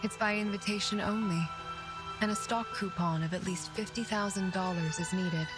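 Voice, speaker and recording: An actress playing a serene, aloof arcanist of the woods in a video game, speaking in a measured, formal and courteous way. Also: female voice, medium pitch, steady tone, natural voice. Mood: calm, detached. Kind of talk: scripted game voice acting. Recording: loud music behind the voice. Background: loud background music.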